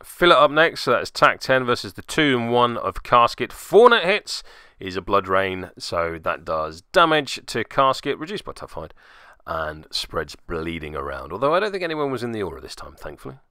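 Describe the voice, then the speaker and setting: A man's voice: on the low side, very animated, moderate pace, pushed and bright, clear, resonant tone, no room sound, studio quality. A man narrating a tabletop game play-by-play in voice-over, with wry, self-deprecating asides. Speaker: host, hobby gaming channel narrator.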